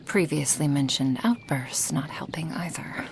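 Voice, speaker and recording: A woman playing a gentle, apologetic female dragon in a soft-spoken ASMR roleplay, breathy and formal in her careful phrasing. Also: deep voice, measured pace, a little hiss or hum. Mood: regretful, subdued. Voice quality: breathy, half-whispered.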